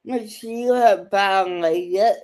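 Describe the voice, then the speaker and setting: A woman's voice: mid-range voice, drawn-out words, darkish tone. A woman giving casual reaction commentary, blunt and speaking in disjointed phrases.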